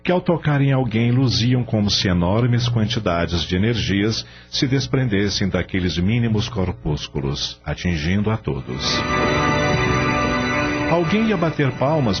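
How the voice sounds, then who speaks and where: A man with a low voice and Brazilian accent narrates a radio drama. His pitch moves widely through the scripted storytelling. The recording is dull, with faint music behind him. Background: soft background music.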